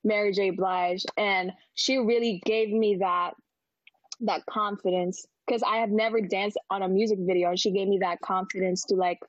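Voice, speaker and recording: A woman's voice: mid-range voice, fairly even intonation, normal speed, no room sound, dull audio. A young Filipino woman with a Filipino accent, a singer chatting in an interview, bubbly and giggly with many fillers. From Philippines. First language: Tagalog. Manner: bubbly, laughs often, lots of fillers. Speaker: singer guest. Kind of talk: casual interview chat.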